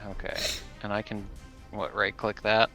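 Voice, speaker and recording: A man's voice: fairly low pitch, completely flat delivery, moderate pace, dull audio, loud music behind the voice. A man playing a tabletop role-playing game, chatting casually and talking through his moves and rules.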